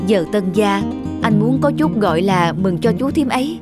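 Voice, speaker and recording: Mild, earnest cartoon husband. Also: male voice, sits high, expressive, normal speed, loud music behind the voice. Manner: patient, placating. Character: mild, earnest, considerate husband and older brother.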